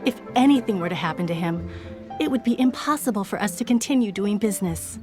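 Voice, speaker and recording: A woman's voice: mid-range voice, lively intonation, quick pace, over music, close to an everyday voice. A woman playing a polite, helpful shop owner in a video game, speaking in a composed manner. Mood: gracious.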